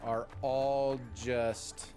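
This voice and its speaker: A man chatting casually and ramblingly as a game streamer, informal and halting, leaning on key words. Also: medium pitch, flat delivery, warm tone. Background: game music.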